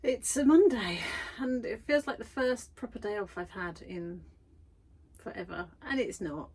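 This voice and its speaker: A woman's voice: medium pitch, a little slow, a little mellow, husky. A woman vlogging from her garden shed studio, chatty and self-deprecating, tired after busy weeks. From United Kingdom. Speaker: vlogger and art workshop tutor. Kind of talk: casual chatty vlog.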